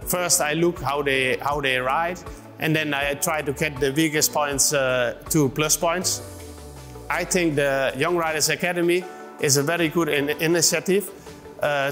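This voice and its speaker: Halting Dutch man in a reverberant hall. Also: a little high, a bit bright. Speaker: riding trainer and stable owner. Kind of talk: documentary interview. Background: background music.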